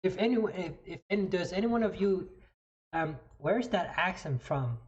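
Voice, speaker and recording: Halting French Canadian chess streamer, slightly dull audio. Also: mid-range voice, lively intonation. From New Brunswick, Canada. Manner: halting, thinking aloud, repeats phrases. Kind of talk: casual live commentary explaining moves.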